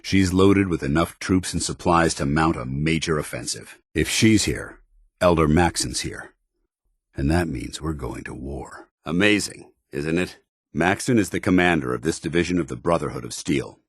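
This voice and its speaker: A stern, loyal male military officer from a video game. His voice sits low and is smooth, never muddy. He speaks in formal, clipped, earnest phrases, as a dutiful soldier would, in a very dry studio sound. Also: normal speed, no echo. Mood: commanding, proud. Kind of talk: video game companion dialogue.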